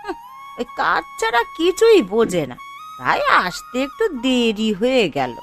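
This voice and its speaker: A woman voicing a tender-hearted young village woman in a cartoon family drama, with earnest, emotive, drawn-out delivery. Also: a little high, wildly swooping pitch, a bit bright, exaggerated voice. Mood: warm, respectful. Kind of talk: melodramatic cartoon voice acting. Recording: music behind the voice.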